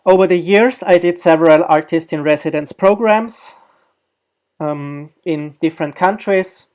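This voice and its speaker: A man from Austria, a designer and researcher, giving an academic guest lecture with halting speech and many fillers. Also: fairly high pitch, darkish tone.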